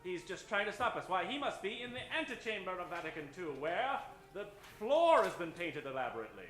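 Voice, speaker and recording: A man's voice: sits high, sing-song, fairly quick, fairly bright tone. A man playing a bossy, self-assured adventurer and code breaker in a melodramatic parody of old-time radio. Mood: dramatic, confident. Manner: melodramatic, exclamatory. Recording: roomy stage sound with music behind.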